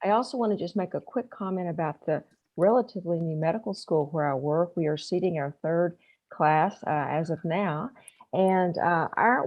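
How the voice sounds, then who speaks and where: A woman in her fifties speaks earnestly and with enthusiasm on a video panel, her voice fairly low. The audio is dull and lo-fi. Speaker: psychiatrist and medical faculty. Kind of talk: video call introduction.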